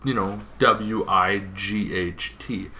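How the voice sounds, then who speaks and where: Bright, forceful lower-pitched man explaining informally. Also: fairly even intonation, slow, slight background noise. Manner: rambling, with asides and self-corrections. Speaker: host of a tabletop gaming show.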